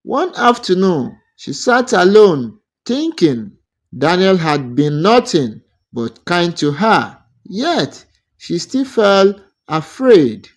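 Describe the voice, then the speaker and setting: A man narrating a scripted romantic folktale as a storyteller, in deliberate, measured short phrases. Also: sits high, big pitch swings.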